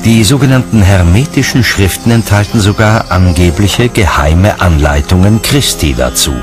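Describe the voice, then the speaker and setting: A man narrating a documentary from a script in a measured, articulate way. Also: low voice, music in the background.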